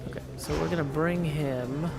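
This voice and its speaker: Male streamer, casual game commentary, deliberating aloud, musing delivery, clear background music. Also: a little high, normal speed.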